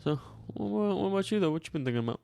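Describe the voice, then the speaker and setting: A man co-hosting a podcast in relaxed, joking chat. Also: high voice, racing, gravelly, soft background music. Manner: laid-back, joking, says "man" and "bro". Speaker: podcast co-host. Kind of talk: casual chat.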